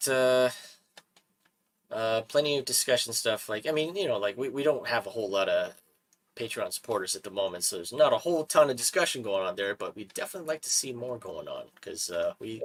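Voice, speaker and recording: A man hosting a casual talk stream, animated and quick to laugh, often repeating his words. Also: on the low side. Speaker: host. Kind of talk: casual podcast chat.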